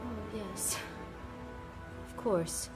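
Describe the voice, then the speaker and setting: An actress playing an anxious, guarded captive handmaid in an audio drama, in dialogue and intimate inner monologue. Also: female voice, mid-range voice, drawn-out words, loud music behind the voice. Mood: guarded, hesitant.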